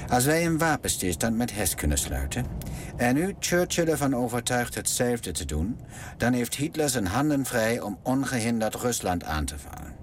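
A man's voice: medium pitch, sing-song, normal speed, a little hiss or hum, lightly acted. An actor playing a queen's scheming son in a radio drama, speaking in a conspiratorial, persuasive way.

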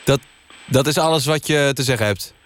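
Actor as deadpan male radio news presenter. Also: mid-range voice, normal speed, lightly acted. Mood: dry, exasperated. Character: dry male news-magazine presenter, mildly exasperated.

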